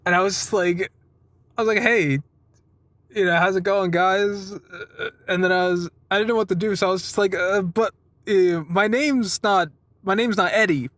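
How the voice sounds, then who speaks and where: Male, twenties, high-pitched voice, close dry recording, casual rambling storytelling, informal, occasional swearing. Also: fairly even intonation, normal speed, fairly bright tone, no echo. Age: 20s. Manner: acts out quoted exchanges. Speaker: vlogger giving a weekly update.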